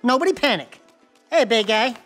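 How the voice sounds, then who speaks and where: A man's voice: very high voice, lively intonation, fairly bright tone, soft background music. An actor voicing a wisecracking furry male animal sidekick in a video game, who talks in a brash, boastful way. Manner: brash, boastful, quick-talking. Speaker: game character.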